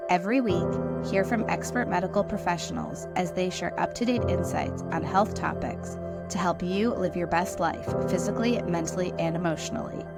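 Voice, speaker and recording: A woman reading a formal podcast introduction slowly. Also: on the low side, gravelly. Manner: slow, formal announcing. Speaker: podcast announcer. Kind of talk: scripted intro. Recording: loud music bed under the voice.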